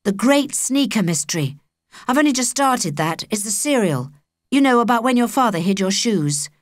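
A woman's voice: a little high, expressive, moderate pace, no echo, studio quality, natural voice. A British woman voicing a cocky, sardonic young boy with a blunt, offhand manner in a children's audiobook. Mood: matter-of-fact.

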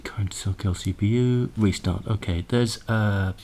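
A man's voice: on the low side, moderate pace, dark tone. A man giving a casual, unscripted tech demo, hesitant and thinking aloud as he goes. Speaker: presenter of a hardware prototype demo. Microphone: handheld camera microphone. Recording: noisy handheld camera recording with clicks.

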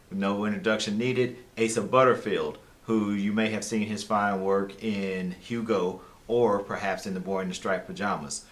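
A man's voice: on the low side, fairly bright tone. An American-accented man in his thirties, co-hosting a conversational film review in a small room.

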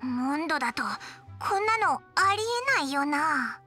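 A woman voicing a tiny, childlike flying companion in an anime game, cheeky, excitable and brash. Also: high voice, normal speed. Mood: baffled. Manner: brash, rough boyish speech, exclamatory. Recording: game music behind the voice.

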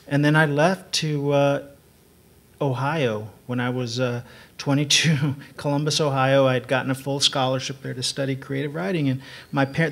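A man's voice: medium pitch, gravelly. A middle-aged man from San Antonio telling a self-mocking story on a literary panel, speaking into a table mic before an audience. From San Antonio, Texas. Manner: relaxed, self-mocking, laughs at himself. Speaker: panel writer. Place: gallery event room with audience.

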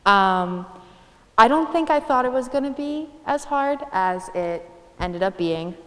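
A woman's voice: fairly high pitch, lively intonation, a little slow, fairly bright tone, big-room sound. A woman in her twenties from Massachusetts, a student panelist answering in a chatty, hesitant way. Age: 20s. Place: studio stage. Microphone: handheld mic.

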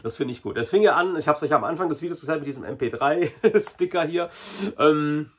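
Male, 40s, slightly rough-edged voice, informal vlog reviewer, chatty and familiar with viewers. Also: a little high, a little fast, a bit bright. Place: small indoor hobby room.

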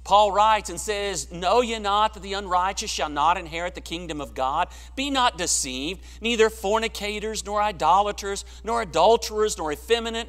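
A middle-aged man preaching a sermon in a church sanctuary, swelling to emphatic peaks and then dropping quiet and low. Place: church sanctuary, large room. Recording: long church reverb.

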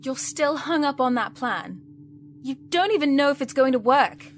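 A woman's voice: high-pitched, expressive, normal speed, slight background noise. A British woman playing a stern, exasperated supervillain's partner in an audio roleplay. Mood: exasperated, urgent.

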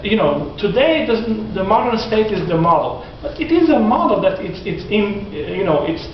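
A man's voice: sits high, moderate pace, slight background noise. Man lecturing in an echoey classroom. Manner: animated, gesturing, restarts sentences often. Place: classroom in front of whiteboard. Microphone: far camera. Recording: echoey classroom from far off, dull lo-fi.

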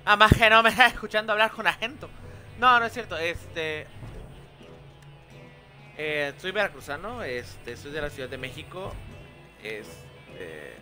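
A man's voice: fairly high pitch, sing-song, unhurried, long pauses. A young man with a Mexican accent, casually commenting on a game and chatting with viewers. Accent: Mexican Spanish. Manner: chatty, swears when frustrated, reads game lines aloud. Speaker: streamer. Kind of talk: casual gameplay commentary, reading chat. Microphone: headset. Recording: game music playing behind.

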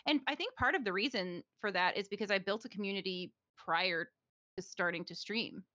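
A woman co-hosting a casual podcast chat, talking fast with lots of fillers and frequent laughs. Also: mid-range voice, bright, forceful tone, no echo, muffled recording. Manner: chatty, laughs often, many fillers. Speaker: podcast co-host, streamer.

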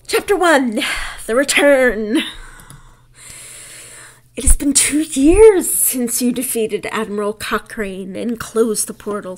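Chatty New York woman, slow-paced. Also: mid-range voice. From New York City. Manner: chatty, laughs often, reads game text aloud. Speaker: game creator playing through a demo. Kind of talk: casual game walkthrough commentary.

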